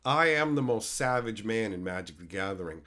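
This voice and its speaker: Male streamer, hoarse with a cold, loose and foul-mouthed, reading chat aloud. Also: on the low side, lively intonation, a little fast, sharp tone. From Canada. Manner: loose, swears freely, answers chat by name. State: hoarse from being sick. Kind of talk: casual live-stream chat.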